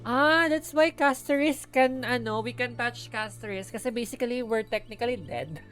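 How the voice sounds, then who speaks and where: A woman's voice: on the high side, moderate pace, a bit bright, faint music behind. A woman with a Filipino accent, live streaming a game and reading its dialogue aloud.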